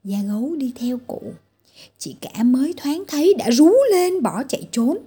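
Dark, husky woman telling a fairy tale. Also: high-pitched, animated, unhurried. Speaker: storyteller for children. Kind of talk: scripted fairy-tale narration.

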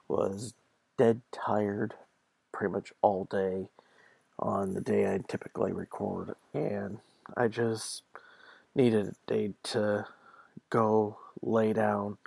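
A man's voice: fairly low pitch, creaky, a little mellow, husky, no room sound. A man hosting a podcast solo, talking informally and partly reading from an article. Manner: informal, conversational. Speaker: podcast host.

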